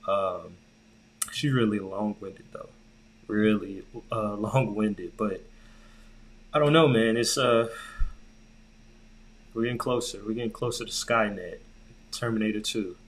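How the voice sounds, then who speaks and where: Low-voiced man chatting casually about tech. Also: measured pace, pauses often, slight background noise, poor audio. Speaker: podcast co-host.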